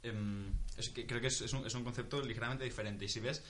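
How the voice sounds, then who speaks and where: A man's voice: medium pitch, fairly even intonation, fast, fairly bright tone, a little hiss or hum. Young Spanish man thinking aloud. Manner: thinking aloud, restarts, swears when stuck. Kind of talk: casual discussion.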